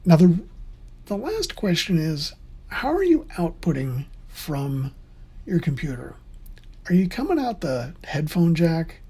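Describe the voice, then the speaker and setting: Husky tutorial voice, middle pitch, chatty and opinionated, kinda rambly teacher. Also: darkish tone. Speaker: instructor in theater sound. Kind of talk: informal spoken tutorial.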